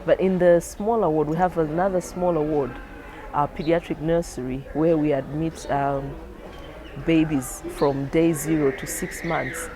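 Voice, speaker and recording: A woman's voice: low voice, fairly even intonation, measured pace, crowd noise. Woman clinician explaining, people chattering behind. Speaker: clinician giving training. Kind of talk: explanatory interview for a training film.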